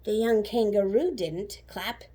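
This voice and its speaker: A woman reading a children's story aloud like a teacher, animated and rhythmic with the rhymes. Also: mid-range voice, measured pace, a little hiss or hum. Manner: animated, rhythmic rhyming delivery.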